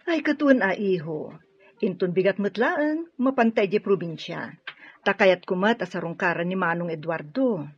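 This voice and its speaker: A woman playing an indulgent middle-aged mother in a radio drama, gently admonishing and caring. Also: mid-range voice, expressive, moderate pace, slightly rough. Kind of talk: Ilocano radio drama acting. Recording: dull band-limited radio drama audio.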